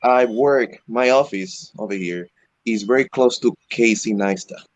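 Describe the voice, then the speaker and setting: Low-voiced Venezuelan-raised man, halting delivery. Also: no echo. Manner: halting, frequent "you know" fillers. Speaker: interview guest, electric skateboard rider. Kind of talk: casual video call interview.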